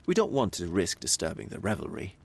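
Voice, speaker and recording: An actor playing a discreet, anxious male party guest who speaks in a hushed, cautious way. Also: low voice, big pitch swings, brisk, soft background music.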